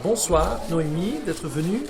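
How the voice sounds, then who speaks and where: A man's voice: on the high side, a little slow, creaky. A man hosting an interview, asking casual questions and stumbling over his words. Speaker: host interviewer. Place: bar evening event with crowd. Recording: crowd chatter behind.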